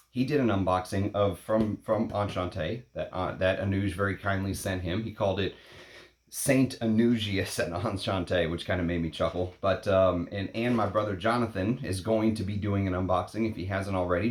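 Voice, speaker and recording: A man in his late thirties hosting a perfume vlog, talking casually in a rambling, opinionated way, blunt and quick to swear. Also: low voice. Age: 30s. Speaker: perfume channel host. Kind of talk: casual unscripted vlog talk. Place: at home in Texas.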